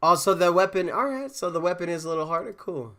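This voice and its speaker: A man's voice: high voice, expressive, fast talker, pushed and bright. A man casually chatting with viewers on a game stream, rambling with fillers and restarts, a bit congested from a cold. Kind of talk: casual live-stream chat.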